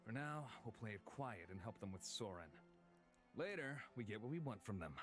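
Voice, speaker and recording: A man plays a guarded yet considerate companion in a visual novel. His voice is low with animated swings in pitch, and his delivery is measured and careful. Music sits behind it. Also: close to an everyday voice. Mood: calculating. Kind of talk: dramatic visual novel voice acting. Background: background music.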